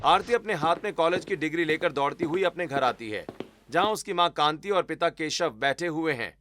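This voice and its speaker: Higher-pitched man narrating a story. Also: animated, fairly quick, fairly bright tone, a little hiss or hum.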